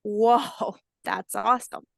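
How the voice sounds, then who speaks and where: A woman voicing a curious, excitable little alligator hatchling in a storybook, asking things in an eager, wide-eyed way. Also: medium pitch, takes it slowly, gravelly, no echo, clean studio sound, heavily acted. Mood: amazed.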